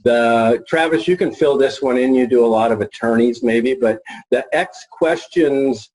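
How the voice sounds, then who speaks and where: A middle-aged man informally presenting as a marketing expert, folksy and halting as he thinks aloud. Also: medium pitch, a little mellow, low-quality recording. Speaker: marketing expert and author presenting his book.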